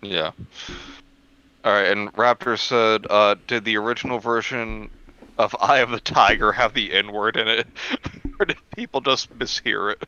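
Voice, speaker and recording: A man's voice: lowish voice, fairly even intonation, measured pace, sharp tone, clear, resonant tone, dull audio. A man chatting casually as a co-host and relaying messages from listeners. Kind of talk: casual chat.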